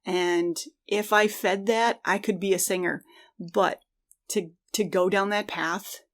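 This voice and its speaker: A woman's voice: mid-range voice, steady tone, takes it slowly. A middle-aged woman co-hosting a podcast, talking casually and reflectively in an earnest way. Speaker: podcast co-host.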